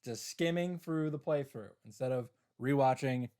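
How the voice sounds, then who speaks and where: A man's voice: mid-range voice, normal speed, a little mellow. A man giving casual commentary on a game playthrough, with wry, self-interrupting asides.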